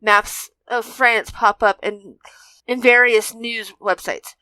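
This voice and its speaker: A woman's voice: a little high, fairly even intonation, slow pace, sharp tone, smooth, no room sound, rough recording. A woman narrating a fan fiction story as a scripted reading.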